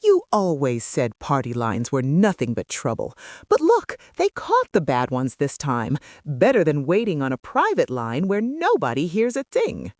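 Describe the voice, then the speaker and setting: Woman playing a very animated retired wife. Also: deep voice, measured pace, no room sound, clean studio sound, heavily acted. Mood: pointed, approving. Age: older. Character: retired small-town wife, chatty, easily thrilled. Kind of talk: scripted radio drama dialogue.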